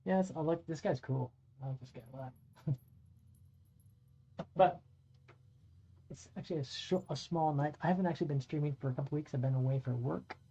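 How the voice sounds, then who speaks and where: Middle-aged guy painting minis on stream, super dark mellow voice, swears a bit, dull lo-fi sound. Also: fairly high pitch, brisk, long pauses. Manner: relaxed, rambling, swears casually, talks to chat. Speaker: streamer and dungeon master. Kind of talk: casual live-stream chat while painting miniatures. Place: home room by a window. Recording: dull lo-fi audio with faint music.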